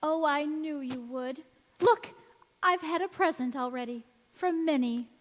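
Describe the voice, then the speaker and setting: A woman's voice: sits high, a little slow. A woman acting a sweet, sentimental young bride-to-be with earnest, theatrical delivery in an old-time radio drama. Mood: happy, tender. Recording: echoey church hall stage recording.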